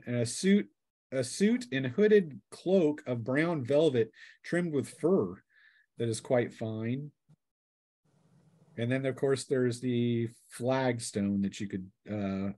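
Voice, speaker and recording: A man's voice: mid-range voice, dark tone, no room sound. A man acting as game master, casually describing scenes and rulings in a tabletop fantasy game.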